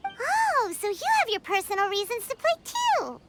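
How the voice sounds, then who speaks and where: Childlike fairy sidekick, perky, exclamatory, sky-high pitch, hugely animated, video game, loud music behind. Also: female voice, moderate pace, full character voice. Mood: chatty. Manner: speaks of self in third person, exclamatory. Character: small childlike floating fairy companion, perky, excitable, food-loving.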